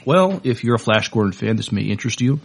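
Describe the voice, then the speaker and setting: A man co-hosting a podcast, talking casually through news notes in a halting, hesitant way. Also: on the low side, animated, vocal fry, breathy edge. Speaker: podcast co-host. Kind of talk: casual news chat, partly reading notes.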